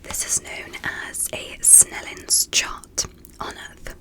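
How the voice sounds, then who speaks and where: A woman whispering in an ASMR medical roleplay as a curious, polite alien doctor, speaking gently and deliberately with soft amazement. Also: low-quality recording. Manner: gentle, deliberate, repetitive, softly amazed at small things. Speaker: ASMR performer playing an examiner.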